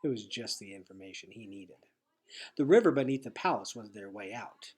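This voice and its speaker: Husky man reading a storybook aloud. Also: fairly low pitch, expressive, moderate pace, darkish tone. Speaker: teacher reading a chapter aloud. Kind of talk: read-aloud storybook narration.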